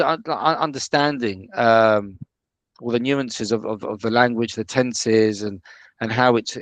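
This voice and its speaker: Man from the UK, mid pitch, halting student guest, dry close mic. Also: dry recording. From United Kingdom. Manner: hesitant, many restarts and fillers. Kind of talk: casual interview.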